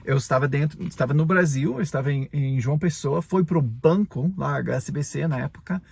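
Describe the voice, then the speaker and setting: Gravelly husky native English-speaking man teaching. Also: a little high, fairly quick, a little mellow. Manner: chatty, tags sentences with "né" and "tá". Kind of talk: casual podcast lesson.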